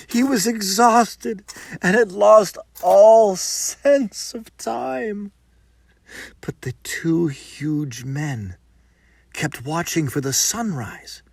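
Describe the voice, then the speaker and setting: A man narrates a folktale in a theatrical storytelling style. His pitch moves widely and expressively, and he takes his time to build suspense. The sound is close and dry. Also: dry recording. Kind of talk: dramatic scripted narration.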